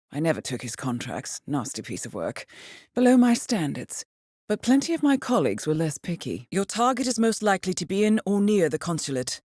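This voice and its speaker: A woman's voice: on the low side, dry recording, studio quality. An actress playing a cool-headed female intelligence handler in a video game, giving scripted mission briefings in a composed, formal, dryly understated way. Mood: dry, wry, then businesslike, composed.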